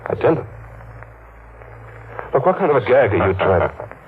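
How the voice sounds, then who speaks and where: A man's voice: mid-range voice, big pitch swings, moderate pace, slight background noise, lightly acted. An actor playing a nervous, guilty man who stammers and gets defensive, in 1940s radio acting style. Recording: tinny old radio recording with music.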